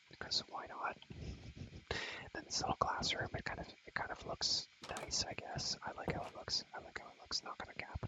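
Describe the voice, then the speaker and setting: Young guy doing whispery asmr game tour, super bright breathy sound, rambles n repeats stuff, slangy. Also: poor audio. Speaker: ASMR creator showing a game world.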